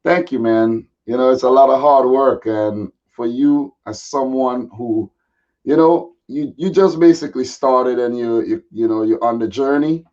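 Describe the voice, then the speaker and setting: A man with a slightly raspy voice chatting casually and in a laid-back way as a guest football pundit. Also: mid-range voice, unhurried, darkish tone. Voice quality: a little raspy. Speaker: guest football pundit, Manchester City fan. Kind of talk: casual chat. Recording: dull, lo-fi audio.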